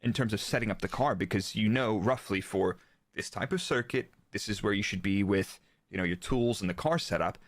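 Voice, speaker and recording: A man with an American accent and a fairly low voice talks through technical material in a casual way. The sound is dry and close, and he tends to illustrate points with quoted dialogue. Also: a little fast, dry recording. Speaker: guest, former Formula 1 performance engineer.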